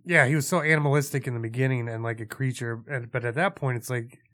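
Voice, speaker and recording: A man's voice: mid-range voice, steady tone, quick pace, fairly bright tone. A man co-hosting a casual movie review podcast who talks bluntly and teases his co-host with crude jokes.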